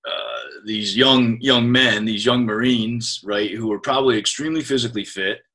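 A man's voice: mid-range voice, moderate pace, pushed and bright. Friendly rambling male interviewer. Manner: friendly, rambling questions with many fillers. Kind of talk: conversational interview.

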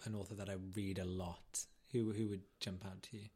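A man's voice: sits low, level tone, fairly quick, warm tone, breathy edge. A man with a British accent in casual podcast banter as co-host, playful and cheeky.

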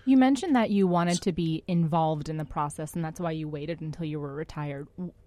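A woman co-hosting a casual interview, lively and quick to laugh, with many short backchannels. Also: low voice, no echo. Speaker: co-host.

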